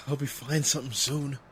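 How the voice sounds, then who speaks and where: A man's voice: mid-range voice, steady tone, a little slow, bright, forceful tone, a little hiss or hum. A man acting in an audio drama as a practical, plain-spoken member of a vigilante team. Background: music.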